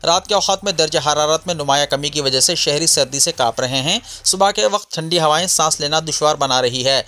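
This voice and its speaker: Higher-pitched male news reader. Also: fairly quick, sharp tone. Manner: formal. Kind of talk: scripted news bulletin narration.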